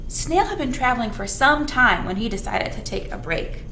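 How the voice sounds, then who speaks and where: A woman's voice: a little high, sing-song, moderate pace, bright, forceful tone, roomy sound, a little hiss or hum. A woman leading a children's storytime, reading aloud in a singsong way and chatting to young listeners.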